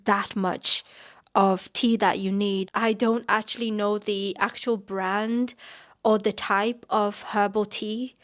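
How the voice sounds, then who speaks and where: A woman's voice: mid-range voice, little pitch movement, takes it slowly, a bit bright. A woman from Myanmar hosting a casual podcast, sounding nasal as she gets over a cold, rambling with many "like" fillers. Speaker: podcast host. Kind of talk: casual chatty podcast talk.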